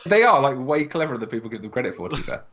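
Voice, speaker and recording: A man with a mid-pitched voice chats casually as a podcast co-host. He is wry and opinionated, giving his views on games in an informal way. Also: sing-song, racing, fairly bright tone. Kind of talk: casual gaming podcast chat.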